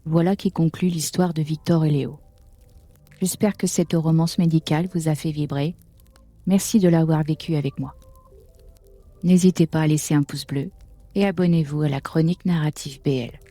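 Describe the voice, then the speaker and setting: A man's voice: fairly high pitch, steady tone, normal speed, dark tone, dry recording, faint music behind. A man speaking as channel host, reading a scripted outro to an audiobook.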